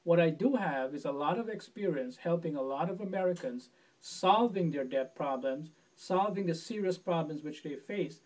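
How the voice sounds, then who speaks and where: A man from Guyana answering interview questions as a presidential candidate, in an earnest, measured way with small restarts. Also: on the high side, expressive, a little mellow, far from the mic, poor audio.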